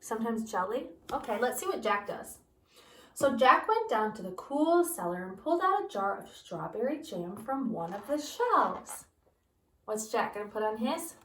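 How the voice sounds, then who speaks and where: A woman's voice: fairly high pitch, normal speed, slight background noise. A woman teacher reading a picture book aloud to her students, asking them questions as she goes. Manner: animated, asks listeners questions. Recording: roomy, from a distance.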